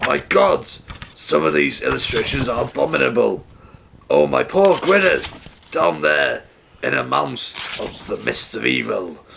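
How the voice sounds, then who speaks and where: A righteous, God-fearing father from old radio melodrama, as acted by a man. His voice is heavy with creak and fry, moving slowly and earnestly through wide rises and falls, over a faint noise. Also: medium pitch, expressive, a bit bright, full character voice. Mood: horrified. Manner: earnest, melodramatic, horrified exclamations. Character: devout, anguished father held captive, righteous and determined. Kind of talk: old-time radio play acting.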